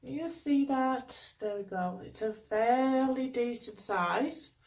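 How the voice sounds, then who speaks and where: A woman with a Geordie accent, chatting casually through a beauty box unboxing at home, her voice croaky from a cold and her talk rambling with lots of fillers. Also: a little high, slow, darkish tone, distant mic. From North East England. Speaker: beauty vlogger.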